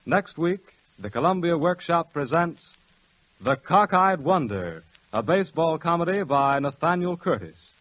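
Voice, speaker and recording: A man announcing in a formal, measured 1940s radio style. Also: on the high side, expressive, fairly bright tone, dry recording, highs cut off.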